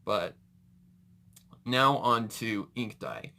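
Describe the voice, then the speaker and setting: Bright-voiced man rambling through casual reaction commentary. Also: on the low side, extremely slow. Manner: rambling, self-correcting, mild slang exclamations. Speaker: reaction video host.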